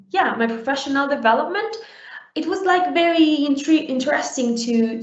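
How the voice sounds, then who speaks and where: A young adult woman from Uruguay giving a conversational conference talk, with many fillers and restarts. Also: a little high. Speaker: conference speaker. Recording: roomy, dull lo-fi sound.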